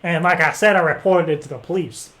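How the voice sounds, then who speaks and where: A man making a prank phone call, rambling in an earnest act with hesitant, halting phrasing. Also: on the high side, a bit bright. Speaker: prank caller. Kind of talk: improvised phone prank chat.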